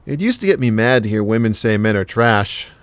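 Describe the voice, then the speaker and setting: An actor playing a cheeky, big-hearted casual lover in an audio drama, with blunt, slangy, joking talk. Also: male voice, on the low side, very animated, fairly quick, clear, resonant tone, no echo.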